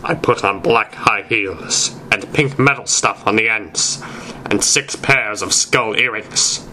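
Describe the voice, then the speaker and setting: A man's voice: on the low side, takes it slowly, sharp tone, slight background noise, rough recording. A man narrating fan fiction aloud in a theatrical reading style.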